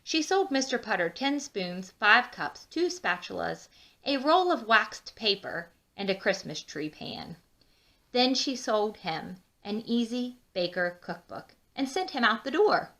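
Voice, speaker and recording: A woman reading a children's storybook aloud with expressive, drawn-out emphasis and chatty asides. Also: fairly high pitch. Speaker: children's book read-aloud narrator.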